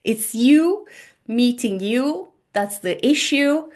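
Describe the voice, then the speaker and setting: Slow, gentle woman. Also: fairly high pitch, lively intonation. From Turkey. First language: Turkish. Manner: gentle, earnest, repeats phrases for emphasis. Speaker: spiritual healer and podcast host. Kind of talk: spiritual monologue to listeners. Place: home. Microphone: microphone on a laptop.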